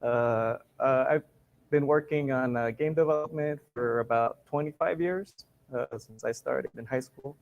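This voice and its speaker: A man from Guatemala presents a software talk on stage. He pauses often with "uh" between phrases. His voice sits at an ordinary pitch for a man. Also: creaky, highs cut off. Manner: hesitant, many "uh" fillers. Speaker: conference speaker, software engineer. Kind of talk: technical talk. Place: conference stage.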